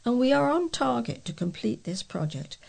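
A woman's voice: fairly high pitch, sing-song, normal speed, gravelly, a little mellow. A woman reading local news aloud, occasionally stumbling over a word as a volunteer newsreader.

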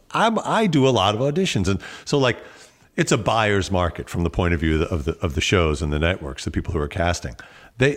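A man with a low, animated voice speaks as a guest in an interview. He sounds reflective and wry, with a self-deprecating touch, in an easy conversational style. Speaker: guest, actor and standup comedian.

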